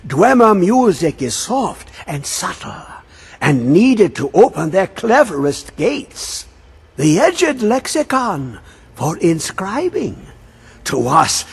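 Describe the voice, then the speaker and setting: A man voicing a mad, obsessed old male scholar who talks in riddles and swings between high and low. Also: creaky, slightly rough, full character voice. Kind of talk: video game voice acting. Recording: game audio with faint music.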